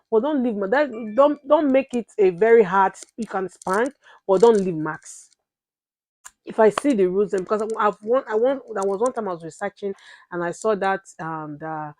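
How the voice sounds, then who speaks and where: A woman's voice: mid-range voice, darkish tone, no echo. A woman in her thirties with a Nigerian accent, chatting casually to the camera as a vlogger and mother, often repeating herself and tagging on "right?". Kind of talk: casual sit-down vlog talk.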